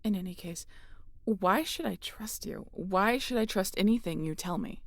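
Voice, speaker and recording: A woman's voice: medium pitch, normal speed, dry recording, natural voice. A woman playing a guarded, sarcastic starship captain and biologist in a sci-fi audio drama, dry and often muttering. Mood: skeptical, guarded. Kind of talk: scripted sci-fi audio roleplay.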